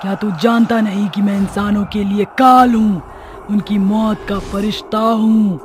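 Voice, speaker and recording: A man voicing an arrogant, murderous female witch in a horror cartoon story, with a husky, strained, menacing delivery. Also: sits high, level tone, measured pace, a little hiss or hum, voice pushed up. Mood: arrogant, threatening. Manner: menacing, taunting. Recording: background music under the voice.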